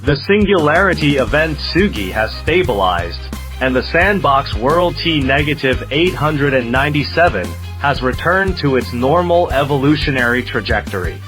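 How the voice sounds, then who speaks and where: A man's voice: medium pitch, expressive, moderate pace, a bit bright, faint music behind, close to an everyday voice. A man voicing a formal, matter-of-fact observation technician giving a report in an audio story. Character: clinical male observation-centre technician reporting on experiments. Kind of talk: sci-fi audio story dialogue.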